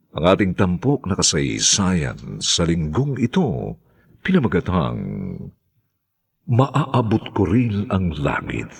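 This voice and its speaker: A low-voiced male announcer with a Filipino accent introduces a radio drama. His delivery swoops widely in pitch and is slow, with a gravelly, husky tone. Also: no room sound, studio quality.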